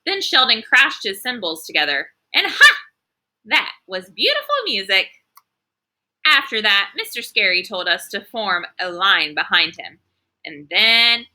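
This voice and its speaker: Woman as a bright, gleeful young schoolgirl storyteller. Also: medium pitch, heavily acted. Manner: childlike phrasing, emphatic enthusiasm. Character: spirited young schoolgirl narrator, quirky and gleeful.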